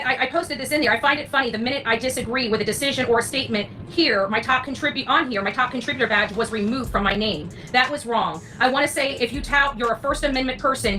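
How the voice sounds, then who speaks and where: Female streamer for a fired-up rant: forceful bright tone, blunt and sweary, music playing underneath. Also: a little high. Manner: indignant, emphatic, blunt, sweary. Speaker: online true crime content creator. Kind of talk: impassioned rant to livestream audience. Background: background music.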